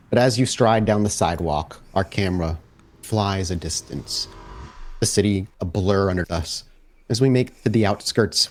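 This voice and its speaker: A man narrating a tabletop roleplaying game as storyteller, describing scenes and asking players questions. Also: deep voice.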